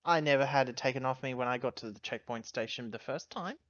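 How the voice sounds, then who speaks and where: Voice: medium pitch, quick pace, dry recording, muffled recording. Dry-sounding tabletop game player. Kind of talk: casual tabletop game chat.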